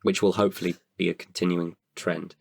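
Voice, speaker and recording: Low, gravelly man, candid and reflective. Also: flat delivery, fairly quick. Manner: candid, introspective, hesitant. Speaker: podcast guest, writer and performer. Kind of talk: reflective casual interview talk.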